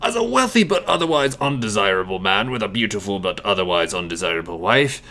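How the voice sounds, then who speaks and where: Snotty male aristocrat, acted by a man, haughty, pompous, low-pitched, comedy sketch. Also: big pitch swings, fast, pushed and bright, full character voice. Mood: smug.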